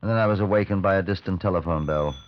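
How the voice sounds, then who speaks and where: A man's voice: sits low, very fast, close to an everyday voice. An actor playing an inquisitive, matter-of-fact police superintendent who recounts his case in an old radio drama. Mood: reflective narration. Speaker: narrator and lead actor. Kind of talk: 1950s radio drama acting, narrating. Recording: dull old 1950s radio recording.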